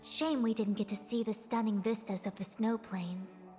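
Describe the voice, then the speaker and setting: A woman playing a composed, imperious master diviner in a video game, speaking formally and with measured authority. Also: medium pitch, fairly even intonation, dark tone, natural voice. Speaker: game character voice actor. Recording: long reverb, game music underneath.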